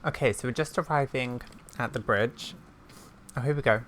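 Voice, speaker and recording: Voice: medium pitch, normal speed, fairly bright tone, a little hiss or hum. A host giving casual gameplay commentary, with scattered, playful asides. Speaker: gaming video host.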